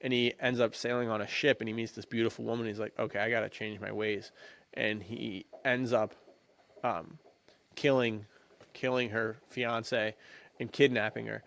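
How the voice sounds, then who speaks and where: A man's voice: on the low side, little pitch movement, moderate pace, vocal fry, fairly bright tone, slight background noise. A man co-hosting a podcast, chatting casually and enthusiastically in slang about adventure stories. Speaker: podcast co-host. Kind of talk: casual chat about adventure books and films.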